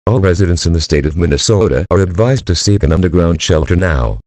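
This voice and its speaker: A male synthetic-style voice as an impersonal emergency alert announcer, reading formal warnings in a flat, clipped manner. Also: low voice, quick pace, no echo. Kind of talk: scripted emergency broadcast announcement.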